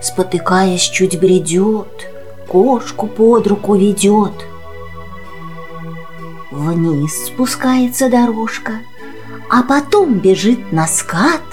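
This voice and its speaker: A woman's voice: mid-range voice, expressive, takes it slowly, pauses often, a little mellow, soft background music. A woman narrating a children's tale in theatrical verse as the storyteller.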